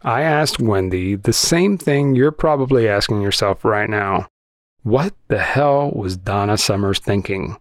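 A man's voice: fairly low pitch, expressive, unhurried, dry recording, clean studio sound. A man hosting a podcast, telling a true-crime story in a conversational narration style. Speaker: podcast host.

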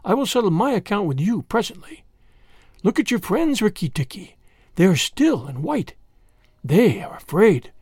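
Narrator acting a menacing female cobra, lively pitch. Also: breathy edge, no room sound, clean studio sound. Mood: menacing, cold. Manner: imperious, threatening, deliberate. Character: menacing, vengeful female cobra, hissing threats.

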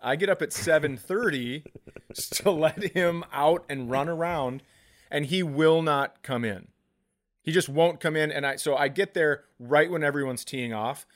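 A man's voice: fairly high pitch, measured pace, dry recording, clean studio sound. A man co-hosting a comedy podcast, telling stories casually with crude, exasperated rants. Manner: crude, profane, exasperated ranting.

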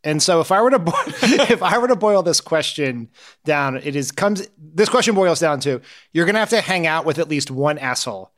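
A man from Pennsylvania with a somewhat high-pitched voice, co-hosting a casual political podcast. He talks in a wry, sardonic way and slips into small rants. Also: expressive, moderate pace, fairly bright tone. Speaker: podcast co-host.

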